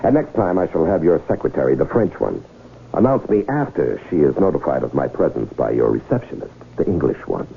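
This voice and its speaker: A wily American swindler in an old radio drama, deep and gravelly with big theatrical swoops of pitch. He coaxes and teases in formal, flowery phrases. The sound is a muffled vintage broadcast. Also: big pitch swings, brisk, full character voice. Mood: suave. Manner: florid, insistent, teasing, formal phrasing. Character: smooth, theatrical male con man, persuasive and wily.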